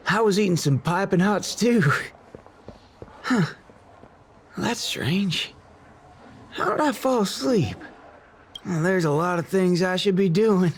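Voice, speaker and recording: Slow-drawling young Southern cowboy gunslinger. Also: male voice, high voice, a little hiss or hum. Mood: puzzled, drowsy. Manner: folksy drawl, taunting. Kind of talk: video game voice acting. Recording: game music under the voice.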